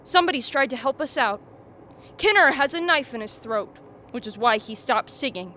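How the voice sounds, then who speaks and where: Woman acting a bright-voiced, commanding expedition leader. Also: high-pitched, expressive, moderate pace, slight background noise. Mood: firm, grim. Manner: sardonic, authoritative. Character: commanding, sardonic expedition leader among frightened crew. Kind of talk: radio drama acting.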